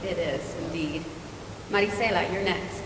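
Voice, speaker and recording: A woman moderating a panel, reading the introductions and asking the questions. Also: moderate pace. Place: large event hall. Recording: distant, very echoey hall with rumble.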